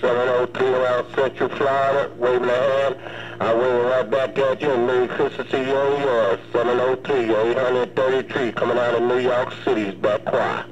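Male CB radio operator, medium pitch, casual on-air chatter through a lo-fi transmission. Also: little pitch movement, normal speed, noisy background. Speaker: CB radio operator in New York. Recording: muffled CB radio, background chatter.

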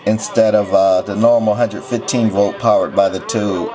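A man with a lowish voice talks through a piece of equipment. His phrases are short and matter-of-fact, like a casual seller's demonstration. Faint sound plays behind him, picked up along with him indoors. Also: fairly even intonation, normal speed, music in the background. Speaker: radio seller showing equipment. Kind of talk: casual product walk-through.

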